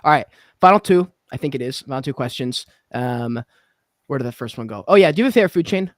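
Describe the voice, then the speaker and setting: A man's voice: medium pitch, animated, fast, no room sound, clean studio sound. A young man hosting a casual interview podcast, laid-back and swearing casually. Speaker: podcast host.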